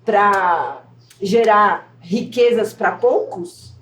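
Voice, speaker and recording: A woman's voice: mid-range voice, extremely slow, a bit bright. A woman from a theater company speaking passionately and searching for her words in a casual discussion. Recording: distant mic in an echoey, noisy room.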